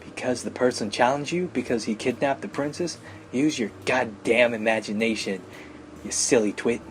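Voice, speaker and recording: A man's voice: medium pitch, normal speed, slight background noise, natural voice. A man voicing a bossy, teasing teenage little sister from an anime visual novel, lecturing and scolding.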